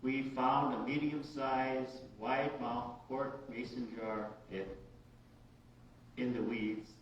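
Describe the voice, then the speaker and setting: A male writer reads his story aloud in a halting, informal way, with a mid-pitched voice. The room is heavily reverberant and he sounds set back from the microphone, a little muffled. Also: little pitch movement. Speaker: writer reading his work. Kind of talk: reading an autobiographical short story aloud. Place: large echoey indoor room at a live reading. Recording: very echoey room, distant dull mic, hiss.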